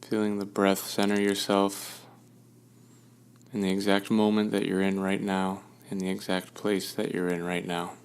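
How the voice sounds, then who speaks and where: Male meditation guide, deep and even-toned, smooth with a creaky edge, soothing, leaving space between phrases. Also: a little slow. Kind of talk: guided meditation, gentle instruction.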